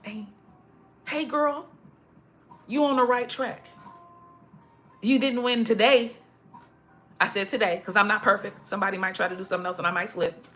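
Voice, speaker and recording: Preachy woman with a bright voice. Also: medium pitch, steady tone, normal speed, long pauses, faint music behind. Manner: preachy, emphatic, repeats herself. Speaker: motivational speaker. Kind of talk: casual motivational talk to followers. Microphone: phone.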